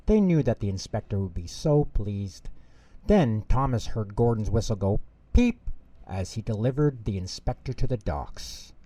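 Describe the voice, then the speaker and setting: A man reading a children's bedtime story aloud as an expressive storyteller. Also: fairly low pitch, a little slow, no room sound.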